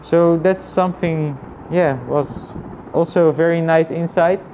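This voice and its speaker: A man thinking aloud in a casual, reflective personal vlog, with halting phrasing and frequent repetition. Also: a little high, steady tone, darkish tone, highs cut off, slight background noise. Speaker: vlogger.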